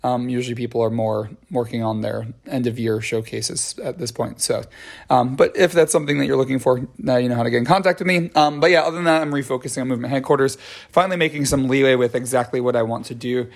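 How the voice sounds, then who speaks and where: A man's voice: mid-range voice, quick pace. A man hosting his own dance podcast, chatting casually with lots of ums and restarts, his voice scratchy. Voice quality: scratchy, gravelly voice. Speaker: podcast host and dance choreographer. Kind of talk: casual solo podcast chat.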